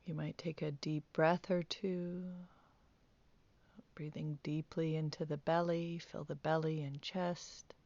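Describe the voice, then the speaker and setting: Slow, low-voiced woman guiding meditation. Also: no echo, muffled recording. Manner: calm, gentle, unhurried instructing with long pauses. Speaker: meditation teacher. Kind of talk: guided meditation, soft spoken instruction.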